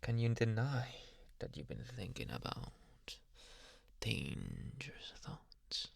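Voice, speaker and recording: A man playing a possessive yandere psychiatrist in an ASMR roleplay, soft-spoken, polite and quietly menacing, with hushed, insinuating questions. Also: fairly low pitch, wildly swooping pitch, creaky, slightly rough, no echo, full character voice. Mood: soft, probing. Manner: slow, hushed, insinuating, many pauses and questioning hums. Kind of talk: ASMR roleplay, close whispering.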